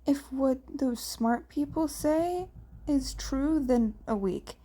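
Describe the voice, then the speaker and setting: A woman's voice: sits high, takes it slowly, dark tone. A woman reading the part of a casual, teasing young boy twin in a story. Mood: reassuring.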